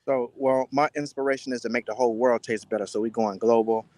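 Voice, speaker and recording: A man speaking as an interview guest, giving earnest, deliberate answers in a casual style. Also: mid-range voice, steady tone, a little mellow, no room sound. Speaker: guest, spice entrepreneur.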